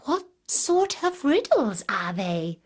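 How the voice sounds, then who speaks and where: A woman voicing a very old, kindly but sly grandmother in a fairytale, hushed and deliberate. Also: a little high, big pitch swings, heavily acted. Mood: coaxing.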